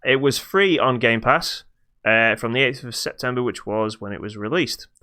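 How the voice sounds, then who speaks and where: A man with a bright, clean-sounding voice talks through video games as a podcast co-host. His delivery is casual and unscripted, with a dry wit, and every word comes across crisply. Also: mid-range voice, moderate pace. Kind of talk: casual unscripted game review chat.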